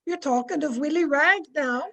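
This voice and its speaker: A woman's voice: high-pitched, normal speed, fairly bright tone. A woman playing a tender old family confidante in a radio drama, soothing and motherly. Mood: tender, sorrowful. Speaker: actor in an amateur radio play. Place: at home, recorded over video call.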